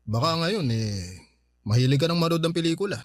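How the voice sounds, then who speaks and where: Radio drama: man acting patient, awkward older brother, Filipino accent, lightly high voice, dry close sound, hesitant. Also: lively intonation, fairly quick, dry recording, lightly acted. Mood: awkwardly coaxing. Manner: coaxing, hesitant. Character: conciliatory older brother back from years abroad, patient, awkward.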